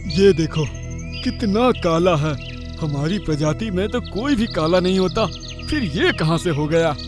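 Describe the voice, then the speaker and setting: A man's voice: high voice, expressive, a little slow, music in the background. A man playing a vain, scornful father swan in a cartoon story, sneering and boastful. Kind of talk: animated moral story dialogue.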